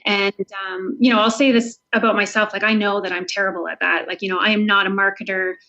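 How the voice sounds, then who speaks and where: A woman's voice: mid-range voice, steady tone, quick pace, pushed and bright. A woman speaking casually as a guest author in an interview. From Canada. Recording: dull lo-fi audio.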